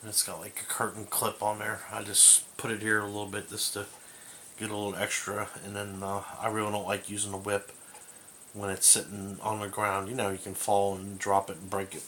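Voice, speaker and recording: Man, lowish voice, casual hobbyist review, hesitant and rambling, faint background noise, indoor camera mic. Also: completely flat delivery, fairly quick, pushed and bright. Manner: rambling, hesitant, searching for words. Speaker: amateur radio hobbyist reviewing gear. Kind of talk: casual unscripted unboxing and review. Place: small bedroom indoors.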